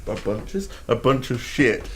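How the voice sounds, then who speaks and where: A man's voice: medium pitch, very animated, unhurried, slight background noise. A man co-hosting a casual podcast, laid-back and giggly, often trailing off mid-sentence. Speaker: podcast co-host.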